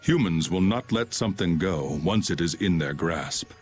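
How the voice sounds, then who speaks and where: Aloof male villain for a game, deep, solemn and formal, faint music. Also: lively intonation, normal speed. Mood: stern. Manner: grave, formal. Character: cold, aloof, solemn male antagonist scornful of humans. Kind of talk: English video game voice acting.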